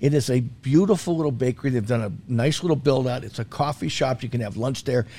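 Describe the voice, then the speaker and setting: A man's voice: medium pitch, fast talker, warm tone. Jokey, casual male food commentator. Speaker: food commentator on a talk show. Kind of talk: casual talk radio chat.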